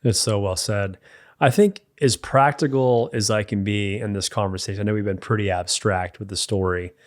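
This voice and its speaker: A man's voice: lowish voice, fairly even intonation, moderate pace. A man speaking as a men's mentor, giving practical faith-based advice in an emphatic, direct way. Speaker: men's mentor and podcast co-host. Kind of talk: faith-based coaching talk.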